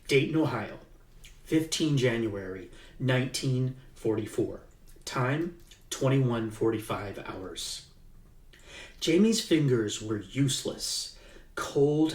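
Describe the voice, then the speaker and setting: A man reads his own novel aloud at a slow, deliberate pace. His voice sits mid-range, heard in a reverberant room from a mic set some way off. He pauses with fillers when chatting and puts more emphasis into the tense passages. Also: medium pitch. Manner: unhurried, with halting fillers in the chat and livelier emphasis in the reading. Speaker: author. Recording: echoey room, mic at a distance.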